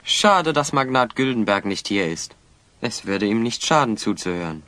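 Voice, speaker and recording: A man playing a plucky, confident young hero in a German fantasy audio play, husky and brisk. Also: lowish voice, expressive, a bit bright. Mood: dry, probing. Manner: brisk, urgent, teasing.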